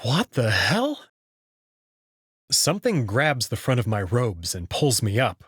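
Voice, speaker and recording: A man reading scripted first-person visual novel narration in an expressive, dramatic way. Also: medium pitch, slow pace, slightly rough, no echo, clean studio sound.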